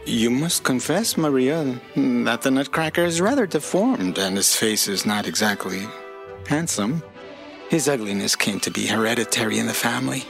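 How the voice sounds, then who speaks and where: A man's voice: mid-range voice, animated, moderate pace, vocal fry, a bit bright, faint music behind. A man playing an eccentric godfather in a drama, speaking theatrically. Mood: whimsical. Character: eccentric old godfather, playful and mysterious.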